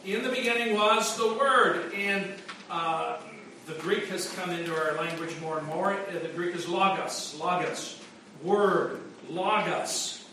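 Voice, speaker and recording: A man's voice: high-pitched, measured pace, sharp tone, a little hiss or hum. A man preaching a sermon as pastor, conversational and full of restarts and fillers, in a church. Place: church sanctuary. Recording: echoey church from a distance.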